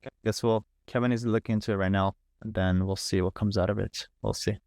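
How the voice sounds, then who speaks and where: A man's voice: fairly low pitch, fairly even intonation, quick pace, gravelly, a little mellow, no room sound, clean studio sound. A man leading a live online training, talking informally through setup steps, repeating himself and thinking aloud. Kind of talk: casual live screen-share walkthrough. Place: live online video call.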